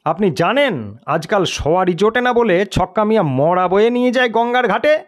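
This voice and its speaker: A man voicing a pompous, suspicious and superstitious village police officer in an audio story, gruff and blustering in manner. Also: high voice, animated, moderate pace, pitched above the performer's own voice. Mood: accusing. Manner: gruff, grave-toned, blustering. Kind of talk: dramatised audio storybook character.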